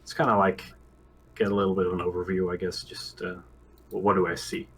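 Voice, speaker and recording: A man's voice: sits low, little pitch movement, a little fast, a bit bright, slight background noise. A man chatting casually as a tabletop game player, hesitant and laid-back. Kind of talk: casual chat.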